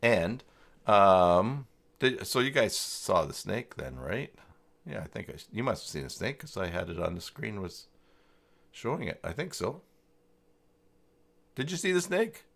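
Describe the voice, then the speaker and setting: A man hosting a casual live software tutorial, talking informally and haltingly as he thinks aloud while chatting with viewers. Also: fairly low pitch, very animated, vocal fry. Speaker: livestream host demonstrating website tools.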